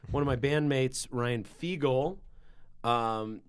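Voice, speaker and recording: A middle-aged man hosting a relaxed podcast conversation. His loud voice carries, and he talks in animated, winding stories full of restarts. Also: mid-range voice, slow pace, clear, resonant tone. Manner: loud, carrying voice; rambling, animated storytelling with restarts. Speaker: podcast host and musician.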